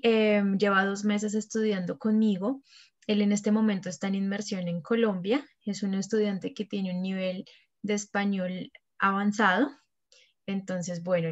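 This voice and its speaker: Young woman teacher interviewing on a call. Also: mid-range voice. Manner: clear, encouraging, teacherly questioning. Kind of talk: friendly interview questions. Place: room at home. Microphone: laptop webcam on a video call. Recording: dull lo-fi video call audio.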